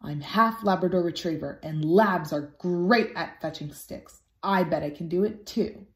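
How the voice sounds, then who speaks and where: A woman's voice: lowish voice, unhurried, voice pushed down. A woman voicing an earnest, sensitive young puppy in a children's storybook, innocent and plaintive. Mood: determined. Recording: roomy home recording.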